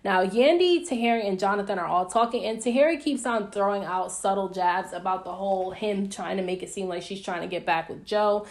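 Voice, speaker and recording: A woman's voice: medium pitch, brisk, hardly any pauses. A young American woman giving a casual, gossipy recap of reality TV, chatty and opinionated with slangy mild swearing. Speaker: YouTube reviewer recapping reality TV.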